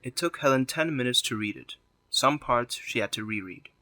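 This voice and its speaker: A man's voice: mid-range voice, expressive, moderate pace, bright, forceful tone, no echo. A man narrating a scripted drama as a storyteller.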